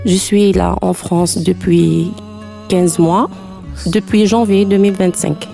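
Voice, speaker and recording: A woman in her forties introduces herself in non-native French. Her voice is mellow and creaky, over faint music. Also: on the low side, steady tone, takes it slowly. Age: 40s. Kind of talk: self-introduction.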